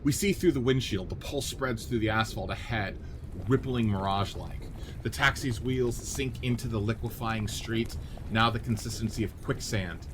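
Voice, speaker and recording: A man's voice: on the low side, moderate pace, noisy background. A man reading scripted narration and stage directions for a radio play.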